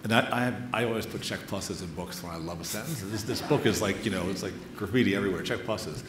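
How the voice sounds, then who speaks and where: A man's voice: fairly low pitch, fast talker, crowd noise. A man interviewing an author on stage, speaking admiringly and emphatically and repeating words for effect. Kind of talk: literary conversation with quoted passages. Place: live stage event before an audience. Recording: echoey hall with clear background noise.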